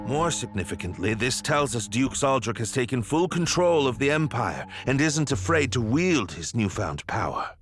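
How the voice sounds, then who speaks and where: A man playing a shrewd, grave military strategist in a video game cutscene, speaking deliberately and formally. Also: mid-range voice, sing-song, over music, lightly acted.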